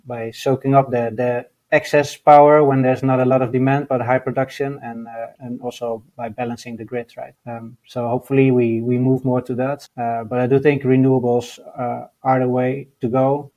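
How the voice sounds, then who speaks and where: Dutch-accented man, flat halting speech. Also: mid-range voice, lo-fi sound. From Netherlands. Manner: halting, many fillers and restarts. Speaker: guest, mining entrepreneur. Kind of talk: interview answers.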